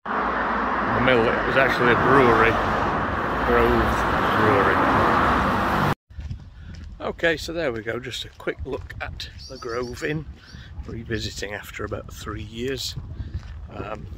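A man's voice: mid-range voice, a little slow, pauses often. A man in his forties casually narrating an urban exploration vlog, chatty and rambling with fillers, filmed on a phone held at arm's length outside. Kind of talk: casual walk-through commentary. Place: outdoors by a canal path. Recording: phone audio with outdoor noise.

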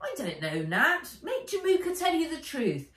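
A woman's voice: sits high, huge pitch swings, moderate pace, fairly bright tone, pitched above the performer's own voice, exaggerated voice. A woman voicing a cheeky, curious young child. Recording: echoey room, voice a little far off.

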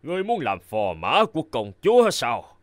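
An aging fairy-tale king for a cartoon. He is proud and fatherly, declaiming in a stately way with a very lively intonation. The sound is dry and close. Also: mid-range voice, normal speed, dry recording. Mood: measured, stern.

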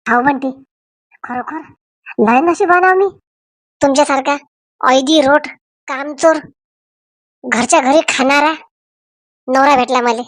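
Comedy cartoon village woman, played by a female actor: high, theatrical, scolding, rural Vidarbha Marathi accent, dry recording. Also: measured pace, pauses often, no echo. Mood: sarcastic. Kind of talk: comic cartoon voice acting in village dialect.

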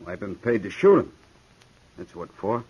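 An actor playing a crazy, single-minded hired gunman with rough, blunt speech in a 1950s radio western. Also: lowish voice, very animated, normal speed, darkish tone. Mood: menacing. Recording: dull 1950s radio recording.

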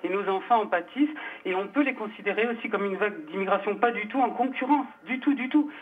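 A woman's voice: mid-range voice, fast, slightly rough. A woman calling a radio show by phone to give her opinion, emphatic and repeating her phrases. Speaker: phone-in caller. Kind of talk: spontaneous opinion on live radio. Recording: narrowband phone line.

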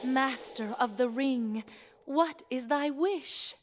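Earnest, high-voiced genie girl. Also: slow pace. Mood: solemn, earnest. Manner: formal, solemn storybook phrasing. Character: earnest, dutiful young female genie of the ring. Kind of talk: video game cutscene acting.

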